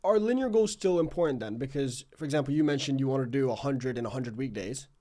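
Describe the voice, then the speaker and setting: A young man of about twenty with a mid-pitched voice hosts a casual interview. He poses his questions conversationally. His phrasing is hesitant and searching as he works toward each point. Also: expressive, fast talker, dark tone. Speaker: podcast host, interviewer.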